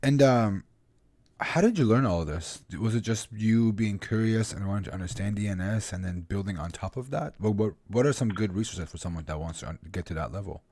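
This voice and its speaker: A man's voice: fairly low pitch, fairly quick, darkish tone, dry recording. A man hosting a casual live stream interview, curious, laughing easily and repeating words as he asks. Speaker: host.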